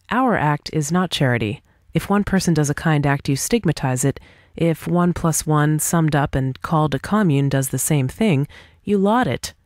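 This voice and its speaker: A woman's voice: low-pitched, darkish tone, dry recording, lightly acted. Woman reading as confident, articulate individualist debater, close dry sound. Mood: wry, mocking. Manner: articulate, deliberate, rhetorical questioning. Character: confident, argumentative individualist debater, patient but pointed. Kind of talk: scripted dialogue reading for an audiobook.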